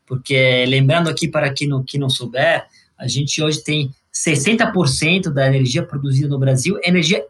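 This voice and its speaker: A man's voice: a little high, a bit bright, husky. A man from Sicily with an Italian accent, a native Italian speaker, explaining as an interview guest and often adding small tag questions. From Sicily, Italy. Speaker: guest, corporate venture executive.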